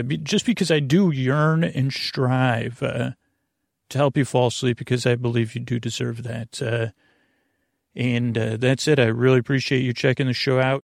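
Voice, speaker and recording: A man hosting a bedtime podcast in a creaky voice, meandering hesitantly and often repeating and correcting himself. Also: mid-range voice, a little mellow. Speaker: podcast host. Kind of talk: rambling bedtime sleep podcast monologue.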